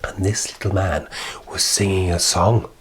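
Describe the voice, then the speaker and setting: A man's voice: sits low, steady tone, normal speed, a little hiss or hum. A man telling a children's fairy tale in an informal, improvised, playful way, repeating words for emphasis. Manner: playful, conversational, with emphatic repetition. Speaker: children's storyteller.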